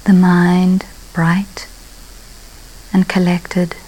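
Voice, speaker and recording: Meditation guide, female: dark relaxed voice, very slow delivery, calm, softly breathy at phrase ends. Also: fairly low pitch, poor audio. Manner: calm, unhurried, long pauses between phrases. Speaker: meditation teacher.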